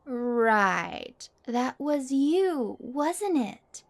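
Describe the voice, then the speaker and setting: Woman acting a haughty, high-voiced popular girl. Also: sing-song, extremely slow, full character voice. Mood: smug, teasing.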